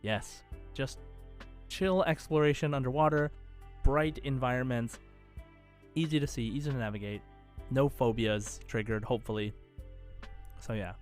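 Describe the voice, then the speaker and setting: A man's voice: mid-range voice, moderate pace, long pauses, faint music behind. A man co-hosting a casual gaming podcast, conversational and enthusiastic as he chats and reads out listener comments. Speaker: podcast co-host.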